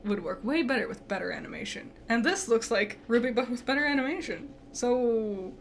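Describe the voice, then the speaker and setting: A woman's voice: a little high, expressive, a little fast. A young woman co-hosting a casual podcast, swearing freely and laughing often. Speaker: podcast co-host. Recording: faint background noise.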